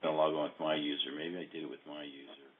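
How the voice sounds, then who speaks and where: A man talking informally through a software demo on a remote call, often muttering to himself as he works. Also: rumbling low, level tone, fast. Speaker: software demonstrator. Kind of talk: casual screen-share demo talk. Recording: dull narrow-band call audio.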